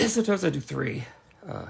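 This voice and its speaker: A man demonstrating card making in a casual, rambling way, with a hoarse, sometimes nasal voice. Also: high voice, expressive, moderate pace. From North Carolina. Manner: chatty and rambling, frequent fillers and restarts.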